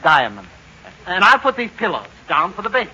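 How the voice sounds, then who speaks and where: An actor playing a talkative, self-important family-man neighbor in an old radio sitcom, husky-voiced and folksy. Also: high-pitched, wildly swooping pitch, normal speed, pushed and bright, dull audio, exaggerated voice. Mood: instructing. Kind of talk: 1940s-50s radio sitcom acting.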